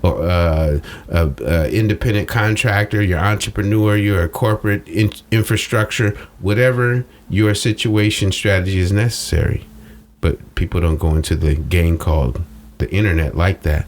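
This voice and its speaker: A man's voice: low-pitched, normal speed. A man talking casually as a strategist guest in an interview, explaining in colloquial phrases and coaching with rhetorical questions. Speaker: social media strategist guest. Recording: noticeable background noise.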